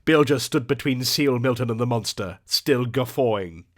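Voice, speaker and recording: A man's voice: mid-range voice, normal speed, a bit bright. A man narrating a scripted audiobook adventure in a theatrical, descriptive storytelling manner.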